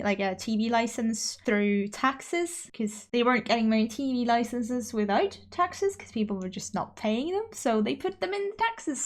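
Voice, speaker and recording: A young Norwegian woman chatting casually over her game footage, rambling and self-deprecating with frequent restarts. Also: on the high side. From Norway. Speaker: YouTuber narrating a speedbuild.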